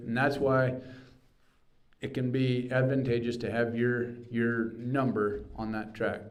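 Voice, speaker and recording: A man's voice: mid-range voice, a little mellow. A man working as an auctioneer, calling bids in a repetitive, rhythmic chant and explaining the bidding plainly to the room.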